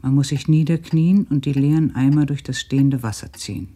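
A man's voice: mid-range voice, a little fast, dark tone, lightly acted. An actor playing a sober, subdued village man who describes daily life plainly in a literary radio play.

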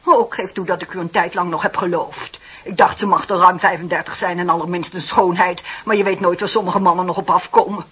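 An actress playing a stiff, haughty, indignant older office worker with a husky, rough voice in a radio play. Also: female voice, on the low side, steady tone, a little fast, a bit bright. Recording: dull old radio play recording.

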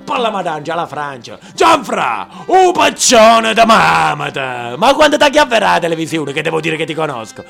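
A man acting a loud, mocking small-town villager with a southern Italian accent, calling out in drawn-out jeers. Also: a little high, huge pitch swings, creaky, soft background music, full character voice. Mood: jeering. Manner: jeering, drawn-out shouted calls.

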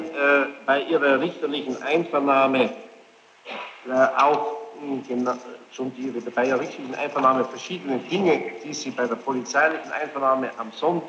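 A man questioning a witness as a judge in formal, halting German phrasing, insistent and reproachful. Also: mid-range voice. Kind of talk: courtroom interrogation, spontaneous formal speech. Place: large echoing courtroom. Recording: dull, noisy archive courtroom tape, echoey hall.

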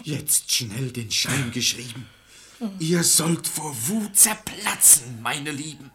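A man's voice: medium pitch, lively intonation, slow, pushed and bright. An actor playing a stubborn, gullible, hot-tempered father in a classical verse comedy radio play, emphatic and blustering in a theatrical way. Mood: gleefully spiteful.

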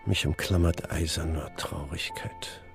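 A man's voice: rumbling low, fairly even intonation, unhurried, vocal fry, music in the background, full character voice. An actor playing a brooding, grief-stricken father in a German radio play, with a heavy, solemn delivery. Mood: sorrowful.

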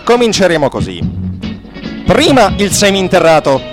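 Pompous, high-pitched mafia chieftain. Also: male voice, huge pitch swings, normal speed, over music. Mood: pompous, solemn. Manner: grandiose, pompous, declamatory. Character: pompous male mafia boss posing as Indian chief. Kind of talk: satirical radio theatre speech.

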